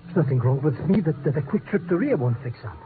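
A man's voice: a little high, lively intonation, quick pace, natural voice. An actor playing a whiny, cowardly sick man who frets and repeats himself, in 1950s radio drama style. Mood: alarmed. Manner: whining, repeats himself in alarm. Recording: noisy, tinny 1950s radio recording.

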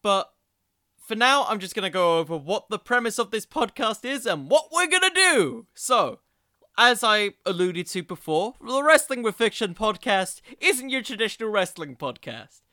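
A man's voice: high-pitched, very animated, normal speed, pushed and bright, no room sound, studio quality. A man hosting a solo podcast, giving casual, opinionated commentary in an informal, digressive way. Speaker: podcast host.

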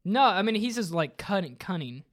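High voiced guy on a podcast, casual banter, excitable, keeps jumping in. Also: normal speed. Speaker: podcast co-host. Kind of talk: casual pop culture banter.

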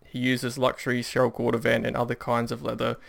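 Gravelly man hosting a podcast. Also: medium pitch, completely flat delivery, brisk. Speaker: podcast host. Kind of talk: casual interview.

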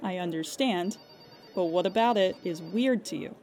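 A woman's voice: lowish voice, moderate pace, a little mellow, natural voice. A woman playing a weary, sarcastic emergency hotline operator in an audio drama, with a dry, clipped official manner and plain exasperation.

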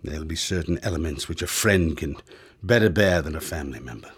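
Very deep, solemn doctor. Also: male voice, animated, breathy edge, heavily acted. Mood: firm, guarded. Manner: solemn, deliberate, formal. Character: grave, resolute older male doctor and loyal family friend. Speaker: actor, also narrating. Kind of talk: radio drama acting.

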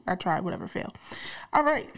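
A woman's voice: lowish voice, very animated, a little fast, gravelly. Congested young woman podcast host, slangy, talks right to listeners. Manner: chatty, slangy, addresses listeners directly. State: congested, slightly nasal from a cold. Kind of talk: casual podcast chat.

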